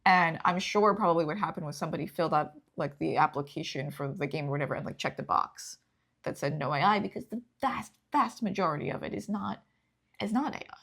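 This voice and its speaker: A young woman hosting a casual news chat, chatty and lively, quick to laugh. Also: low-pitched, vocal fry. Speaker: host.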